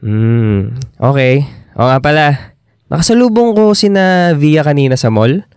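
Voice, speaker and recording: Patient filipino boyfriend, young guy, clear smooth voice, dry recording, radio drama. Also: fairly high pitch, sing-song, unhurried, darkish tone, no echo. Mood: gentle, concerned. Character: patient, concerned young boyfriend, slightly exasperated. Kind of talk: radio drama acting, conversational.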